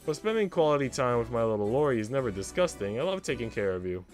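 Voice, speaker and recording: Man voicing an arch, flirtatious servant woman, animated. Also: medium pitch, fast talker, faint music behind, natural voice. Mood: teasing, flirtatious.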